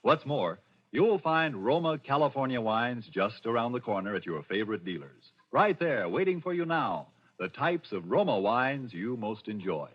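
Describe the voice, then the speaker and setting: American male announcer, 1940s advertising pitch, husky, lively intonation, muffled broadcast. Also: moderate pace. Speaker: commercial announcer. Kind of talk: 1940s radio advertising pitch, polished scripted. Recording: muffled old radio broadcast, faint music.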